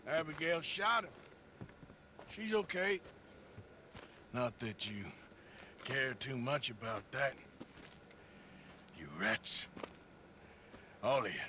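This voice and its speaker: An actor playing a weary, gruff male outlaw gunslinger in a video game, speaking low, slow and grave over game music. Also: big pitch swings, a little hiss or hum, exaggerated voice. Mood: accusing. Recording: game audio under loud music.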